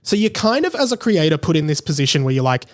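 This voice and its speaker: Higher-voiced man, casual podcast host. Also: sing-song, quick pace. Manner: conversational, thinking aloud, emphatic repetition. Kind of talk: casual solo podcast commentary.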